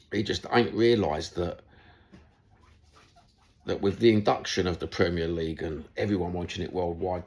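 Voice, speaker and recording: Gravelly low-voiced Cockney man. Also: a little mellow. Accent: Cockney London. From London. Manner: blunt, chatty, swears, rambling asides. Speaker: football YouTuber. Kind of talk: casual monologue to camera.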